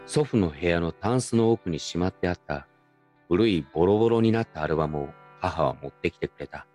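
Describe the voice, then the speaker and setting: Low-voiced man narrating over music. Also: lively intonation, brisk, darkish tone. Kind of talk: scripted first-person radio drama narration.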